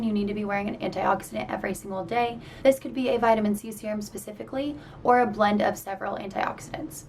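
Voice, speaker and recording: A young woman in her twenties, a skincare specialist, explaining a routine in a chatty way with a scratchy, tired voice. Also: medium pitch. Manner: chatty, explanatory, uses "y'all" and "kinda". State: scratchy, partly lost voice. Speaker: licensed esthetician and skincare vlogger. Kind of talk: casual talk to camera. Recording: slightly distant mic with faint background noise.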